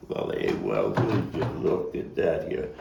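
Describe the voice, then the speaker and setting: A man's voice: a bass voice, wildly swooping pitch, a little slow, vocal fry, pitched below the performer's own voice, full character voice. A man playing a disheveled, nostalgic drunk in a stage monologue, rambling and stammering with emphatic bursts. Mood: amazed, nostalgic. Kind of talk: stage monologue at a table read. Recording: roomy, noisy, distant microphone.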